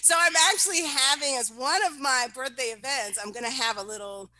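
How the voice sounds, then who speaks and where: A woman chatting casually, animated and playful, teasing her co-host and laughing often. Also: sits high, fairly quick, no echo, rough recording. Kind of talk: casual chat.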